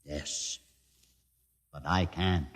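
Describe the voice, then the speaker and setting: An actor playing a grave, learned outcast mutant leader in a 1950s radio drama, speaking with measured, solemn pauses. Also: male voice, extremely low pitch, fairly even intonation, exaggerated voice. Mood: bitter, grave. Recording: 1950s radio recording, long hall reverb.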